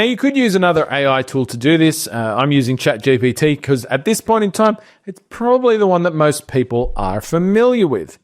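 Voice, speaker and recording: A middle-aged Australian man, co-host of a business training show, walks through a screen-share tutorial in a relaxed, explanatory way, thinking aloud with fillers. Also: a little high.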